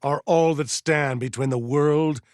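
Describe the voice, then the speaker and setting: A man voicing a stern, aging militia colonel in a video game, formal and measured as he gives orders with deliberate pauses. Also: a little high, a little mellow, no room sound, clean studio sound, lightly acted. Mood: grave, brooding. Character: stern aging militia colonel, dutiful, zealous, wary, slightly forgetful. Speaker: military commander giving orders. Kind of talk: scripted video game character dialogue.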